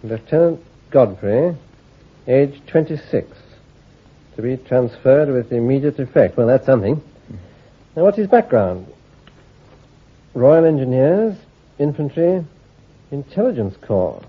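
An actor playing a brisk senior army intelligence officer, crisp and drily ironic. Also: male voice, mid-range voice, big pitch swings. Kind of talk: radio drama acting. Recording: tinny phone-like radio recording.

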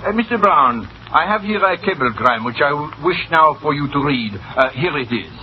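An actor playing an excitable, scheming cafe manager in old-time radio comedy, blustering and theatrical. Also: male voice, fairly high pitch, moderate pace, natural voice. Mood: scheming, smooth. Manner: blustering, theatrical, hesitating with uhs. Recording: muffled, hissy old radio recording.

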